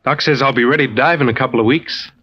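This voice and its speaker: An actor playing a respectful, eager young diver in an old radio drama. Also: male voice, medium pitch, fast, sharp tone, breathy edge. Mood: friendly, eager. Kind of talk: 1940s radio drama acting. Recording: muffled, noisy old radio recording.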